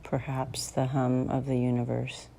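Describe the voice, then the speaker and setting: Very low, slow-speaking woman guiding meditation. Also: monotone, gravelly, dark tone, low-quality recording. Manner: soothing, unhurried, with long pauses. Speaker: meditation guide. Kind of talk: guided meditation. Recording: faint background noise and ambience.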